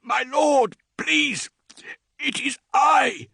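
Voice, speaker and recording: A man's voice: medium pitch, big pitch swings, a little slow, bright, forceful tone, no echo, clean studio sound, voice pushed up, exaggerated voice. A man voicing an aged, devoted male servant who speaks with deference in an audiobook. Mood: pleading. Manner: pleading, deferential.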